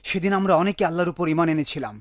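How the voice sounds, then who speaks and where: A man voicing a pious, earnest villager who scolds and instructs in an animated religious cartoon. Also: high-pitched, racing, no echo, studio quality, natural voice. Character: pious, admonishing male villager guarding a sacred well.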